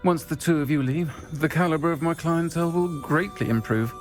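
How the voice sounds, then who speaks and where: An actor, a man, playing a protective, dryly defiant tavern keeper in a video game, speaking in a measured way. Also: fairly high pitch, soft background music, natural voice. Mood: coolly defiant.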